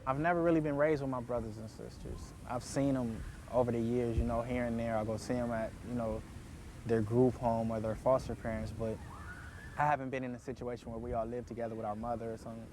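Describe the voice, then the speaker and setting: A man's voice: medium pitch, steady tone, a little fast, a little mellow, slight background noise. An American man in his twenties talking candidly about his own life in an interview. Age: 20s. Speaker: interviewee, former foster youth. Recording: music bed under the voice.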